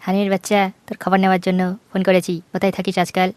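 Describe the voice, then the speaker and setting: A woman's voice: medium pitch, monotone, rapid-fire, close to an everyday voice. A woman voicing a cheeky, bossy, scheming male village friend in a comic cartoon, teasing and brash. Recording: noisy cartoon soundtrack.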